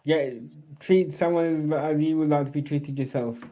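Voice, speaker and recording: A man co-hosting a relaxed conversation in a very dark, mellow voice. He hesitates and repeats words at the start of his thoughts. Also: fairly high pitch, moderate pace. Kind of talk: casual conversation.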